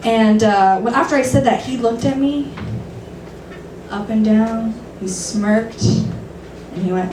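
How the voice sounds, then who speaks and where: A woman in her thirties doing a dry, self-deprecating stand-up set, sometimes shrill and laughing at her own jokes. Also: fairly even intonation, slow. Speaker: stand-up comedian. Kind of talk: stand-up set at an open mic. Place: comedy club stage. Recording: roomy, distant, noisy club recording.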